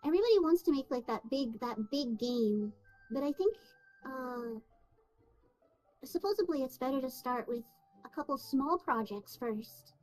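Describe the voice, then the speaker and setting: A woman hosting a livestream, chatting in a relaxed, easygoing way with fillers. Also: high voice, normal speed, faint music behind, rough recording. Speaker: streamer host. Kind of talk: casual stream chat.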